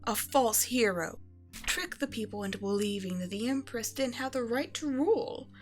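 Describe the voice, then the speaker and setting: A woman playing a regal evil empress and doting mother in an ASMR bedtime roleplay, speaking in a measured, tender, condescending way to a child. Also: fairly high pitch, expressive, lightly acted. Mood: smug, self-righteous. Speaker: audio roleplay performer. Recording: music behind the voice.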